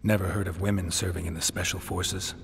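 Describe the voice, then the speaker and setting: Guy doing a weary sarcastic monster hunter for a video game, really low deadpan voice, noticeable background ambience. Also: brisk, slight background noise, natural voice. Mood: calm, matter-of-fact. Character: weary, sardonic male monster hunter held prisoner.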